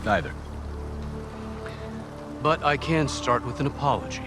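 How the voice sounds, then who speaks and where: An actor playing a grave, sober male intelligence chief in a video game cutscene, speaking in a measured, deliberate, official way. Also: mid-range voice, lively intonation, over music, lightly acted. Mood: sober, conciliatory.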